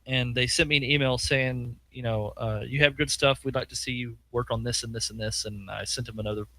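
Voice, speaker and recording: A man's voice: mid-range voice, fairly even intonation, a little fast, bright, forceful tone. A man co-hosting a podcast in casual chat, telling a rambling story with fillers and acted-out quotes. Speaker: podcast co-host.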